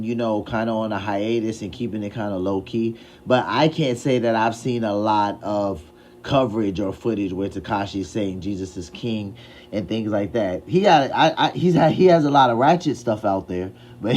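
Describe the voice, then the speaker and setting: Reaction host, man, lowish voice, chatty, faint hiss behind. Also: a little mellow. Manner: chatty, folksy, faith-minded. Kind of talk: casual commentary on a clip.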